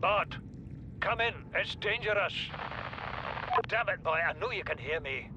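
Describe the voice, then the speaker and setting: A man's voice: lively intonation, unhurried, highs cut off. A man playing an overbearing, worried middle-aged father and expedition chief in a video game, speaking with indignant authority. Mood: urgent. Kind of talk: dramatic game voice acting. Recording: clear rumbling vehicle-like ambient noise.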